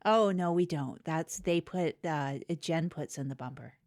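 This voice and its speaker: A woman playing an earnest, chatty small-town co-host of a local news show, speaking in a halting, literal way. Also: low voice, darkish tone. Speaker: co-host of a comedy news podcast. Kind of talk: improvised mock local public-access news chat.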